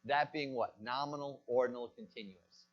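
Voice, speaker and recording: A man's voice: medium pitch, steady tone, moderate pace. Man lecturing on a dull lo-fi recording. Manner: asks the class questions and answers them himself. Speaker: pharmacy college lecturer. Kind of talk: classroom lecture.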